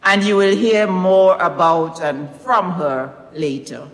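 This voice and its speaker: A woman giving a prepared literary talk, speaking in measured, deliberate phrases with pauses. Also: on the low side. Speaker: author presenting own novel. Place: recital hall, indoors. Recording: echoey recital hall with long reverb.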